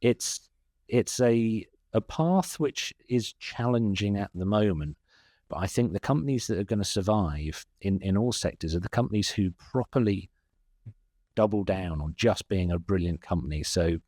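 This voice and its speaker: A man's voice: fairly low pitch, animated, moderate pace, darkish tone, dry recording, studio quality. A man with a British accent, answering interview questions in a rambling, self-deprecating way, often laughing at his own remarks. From England. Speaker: guest, SEO consultant.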